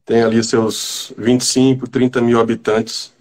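A man from Bahia, a writer guest, speaking haltingly over a video call while recovering from a cold. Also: mid-range voice, level tone, darkish tone. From Bahia, Brazil. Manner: halting, repeats words while searching. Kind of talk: casual video-call interview. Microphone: video call from home.

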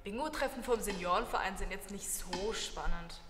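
A woman's voice: mid-range voice, moderate pace, a bit bright, slight background noise. Sarcastic teenage girl, echoey room. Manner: sarcastic, dismissive. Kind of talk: amateur short film acting. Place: classroom indoors.

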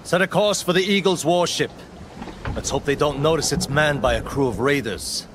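An actor playing a brash, wry raider leader in a video game, sardonic and confident. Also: male voice, a little high, normal speed, background noise, close to an everyday voice. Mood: bold, wry. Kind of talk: video game cutscene acting. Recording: music behind the voice. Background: music.

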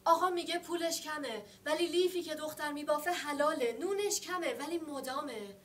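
An actress playing a lonely young woman waiting for her brother, speaking in a theatrical monologue of short, halting phrases. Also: sits high, a bit bright, slight background noise. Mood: hushed, wistful. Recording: roomy sound from a distant mic.

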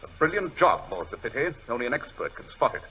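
An actor playing a brilliant, impatient British detective, brusque and commanding, in 1940s radio style. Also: male voice, medium pitch, very animated, fast, pushed and bright, husky, heavily acted. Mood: irritated. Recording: dull old radio broadcast recording.

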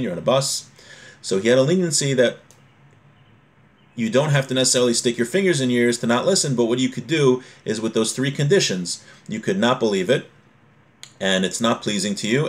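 A man in his forties teaching an informal religious lesson, reading aloud and explaining, often repeating and rephrasing. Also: mid-range voice. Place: small home room, indoors.

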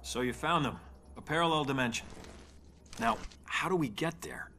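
An actor playing a businesslike superhero in a video game, brisk, questioning and matter-of-fact. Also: male voice, on the high side, pushed and bright, soft background music. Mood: focused. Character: brisk businesslike male superhero planning a mission. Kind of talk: video game cutscene acting.

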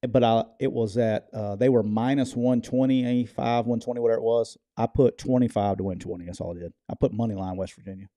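A man's voice: lowish voice, steady tone, a little fast, hardly any pauses, dark tone, no room sound. A middle-aged man co-hosting a sports podcast, brash and jokey, often stammering through restarts, speaking into a studio mic in a home studio. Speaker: podcast co-host. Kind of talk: casual sports betting chat. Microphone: headphones and studio mic.

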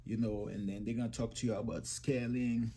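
A young man with a low, mellow voice rambles casually to his phone camera in a small indoor room. He circles back over his points and keeps talking to his viewers as guys. A faint background hum sits under his voice. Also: fairly even intonation, fairly quick. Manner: rambling, repeats himself, addresses viewers as guys. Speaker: trucking vlogger.

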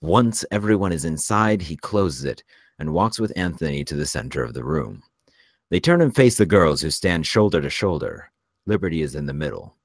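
A man's voice: deep voice, no echo, clean studio sound. A man narrating the stage directions of a screenplay at a table read.

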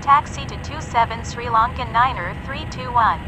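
A woman reading scripted air traffic radio phrases for a simulation game. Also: moderate pace. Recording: clear rumbling vehicle noise behind.

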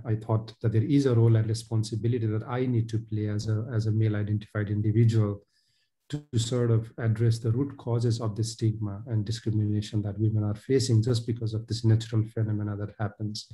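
Very dark, flat-voiced man. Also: lowish voice, a little fast. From Nepal. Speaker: panelist, advocacy co-director. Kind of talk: webinar panel talk. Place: home room on a video call. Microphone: headset.

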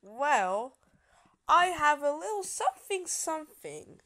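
Casual gamer girl, highish voice, jokey, stumbles over words, excitable. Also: slow pace. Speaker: gamer streaming with a friend. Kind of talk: casual gaming chat.

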